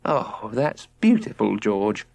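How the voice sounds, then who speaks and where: A man voicing a dreamy, adoring mother in a children's audiobook, with a soft, gushing, murmuring manner. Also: on the low side, sing-song, slow, vocal fry, dry recording, full character voice. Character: dreamy, adoring mother, contentedly embracing outdoor life.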